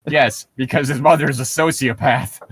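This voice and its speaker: A man's voice: medium pitch, steady tone, normal speed, fairly bright tone, husky, dry recording. A man co-hosting a comedy podcast in casual banter, swearing crudely with mock outrage as he riffs on a story. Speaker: podcast co-host reading and riffing on a story.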